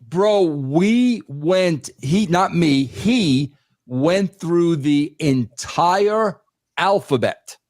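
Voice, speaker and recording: A man with a raspy, husky voice telling an anecdote as a podcast host, emphatic and halting, in a buddy-to-buddy way. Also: a little high, darkish tone, no echo, studio quality. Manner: emphatic, halting, punctuated with "bro". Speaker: podcast host and former TV wrestling writer.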